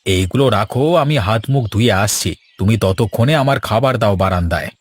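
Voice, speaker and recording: A man's voice: on the low side, fairly quick, breathy edge, dry recording. A man voicing a mild, weary village farm labourer and husband in a cartoon, plain and placid. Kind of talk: cartoon voice acting.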